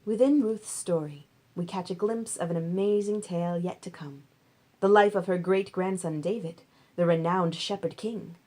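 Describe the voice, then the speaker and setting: A woman's voice: fairly low pitch, steady tone, moderate pace, a little mellow. Woman reading a Bible story aloud. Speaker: audiobook reader. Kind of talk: dramatized scripture storytelling.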